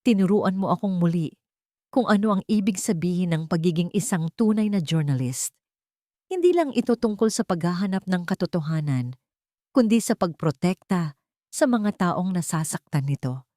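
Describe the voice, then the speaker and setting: An earnest man in love from a romantic storybook drama, acted out by a woman. The delivery is solemn and heartfelt, with expressive swings in pitch. The sound is close and dry. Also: normal speed, no room sound, natural voice. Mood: earnest, tender. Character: earnest, tender adult man, a journalist in love.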